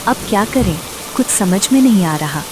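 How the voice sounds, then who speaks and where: A woman's voice: medium pitch, expressive, normal speed, noisy background, close to an everyday voice. A woman voicing a worried, pleading poor village wife in a cartoon story. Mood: frightened, anxious. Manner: pleading, anxious.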